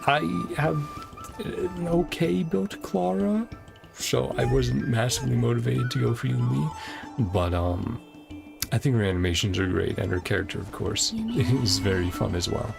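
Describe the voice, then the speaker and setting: A man's voice: mid-range voice, animated, normal speed, gravelly. A tired man chatting casually as a game streamer wrapping up, rambling and hesitant in an informal way. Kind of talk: casual stream outro chat. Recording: music playing behind voice. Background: music.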